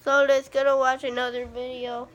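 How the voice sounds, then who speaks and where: A woman hosting a casual reaction video, talking haltingly and often repeating her words. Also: sits high, level tone, fairly bright tone, muffled recording. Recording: faint background noise.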